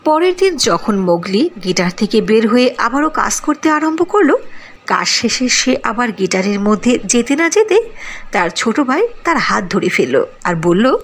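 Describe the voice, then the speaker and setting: Gravelly, lively woman narrating a cartoon tale. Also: on the high side, normal speed.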